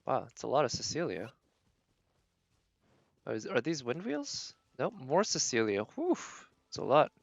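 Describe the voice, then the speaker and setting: Casting: male game streamer, medium pitch, casual running commentary, thinks aloud, very dry recording. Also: huge pitch swings, a little slow, gravelly, pauses often, no echo, highs cut off. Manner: thinking aloud, narrating own decisions. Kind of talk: casual live gameplay commentary.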